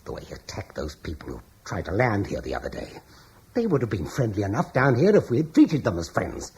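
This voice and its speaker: A gloomy, hesitant neighbor who stammers as he speaks, his voice sitting low and swinging widely in pitch. He sounds bitter and fearful throughout. Faint hiss from an old radio broadcast lies under him. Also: wildly swooping pitch, a little fast, darkish tone. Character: anxious older Romano-British man, fearful, bitter. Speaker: neighbor fleeing with his wife. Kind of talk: radio drama acting.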